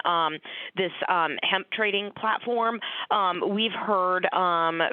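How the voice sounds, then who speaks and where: A woman asking questions as an analyst on a conference call, polite and halting, over the phone. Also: low voice, no echo. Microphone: telephone. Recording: dry, narrow phone line.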